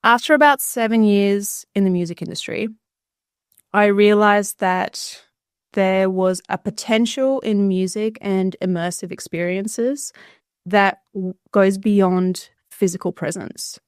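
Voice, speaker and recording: Clear, smooth-voiced woman. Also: mid-range voice, fairly even intonation, takes it slowly, no echo, clean studio sound. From Australia, born in Bulgaria. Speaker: podcast guest, entrepreneur. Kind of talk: conversational personal storytelling.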